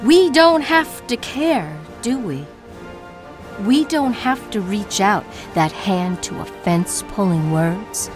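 A woman playing an introspective artist in a poetic dramatic monologue, speaking with deliberate, theatrical phrasing. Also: medium pitch, lively intonation, music in the background. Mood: declamatory. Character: introspective woman artist baring her thoughts, by turns tender and defiant.

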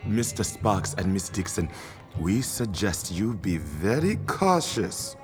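An actor playing a mysterious, wry and ominous curse remover in a radio drama, speaking formally and cryptically with dramatic pauses. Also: male voice, low-pitched, sing-song, over music, heavily acted. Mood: ominous warning.

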